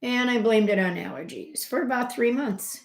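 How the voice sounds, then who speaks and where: A middle-aged woman, a homeopath hosting her own health channel, telling a personal story in a chatty, conversational way with many fillers and asides, her voice swinging from loud emphasis to soft asides. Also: a little high, normal speed, vocal fry. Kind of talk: casual personal storytelling to camera. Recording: roomy echo.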